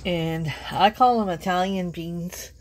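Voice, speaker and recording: A woman's voice: lowish voice, takes it slowly, slight background noise, low-quality recording. A woman from Canada hosting a casual home cooking vlog in her kitchen, chatting to viewers and laughing often. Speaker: home cook, channel host.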